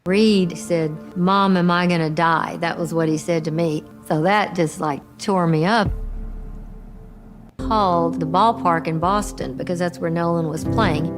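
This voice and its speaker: A woman's voice: on the low side, fairly even intonation, a little slow, music in the background. A woman telling a personal, emotional story as a mother in a documentary-style interview.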